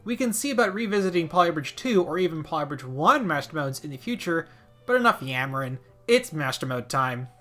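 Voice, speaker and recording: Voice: mid-range voice, sing-song, brisk, pushed and bright, soft background music. A video game commentator narrating a scripted gaming video with wry, playful asides.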